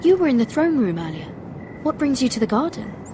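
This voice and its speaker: An actress playing a polite, wary young noble handmaiden in a dramatic video game, guarded and questioning. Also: female voice, high-pitched, big pitch swings, brisk, background noise.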